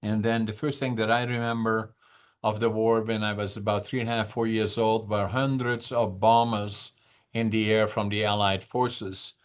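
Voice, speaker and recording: Unhurried man in his eighties, low voice. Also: little pitch movement, smooth. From Netherlands. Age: 80s. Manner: unhurried, reflective, with frequent "uh" pauses. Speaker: podcast guest. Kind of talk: conversational storytelling.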